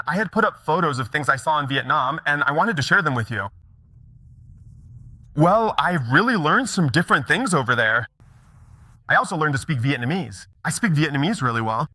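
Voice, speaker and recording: A man's voice: a little high, pushed and bright, no room sound. A middle-aged man telling stories in a conversational conference talk, often checking in with the audience. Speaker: mortgage broker giving a conference talk.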